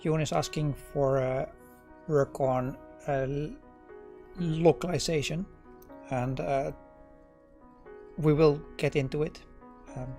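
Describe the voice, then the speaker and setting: Slow, gravelly Dutch man live-coding. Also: a little high, soft background music. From Netherlands. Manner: relaxed, hesitant with many fillers and restarts. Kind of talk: live coding stream, thinking aloud.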